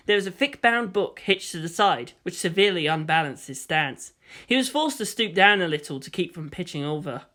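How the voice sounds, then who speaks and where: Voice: medium pitch, sharp tone. A narrator reading a scripted story aloud as in an audiobook.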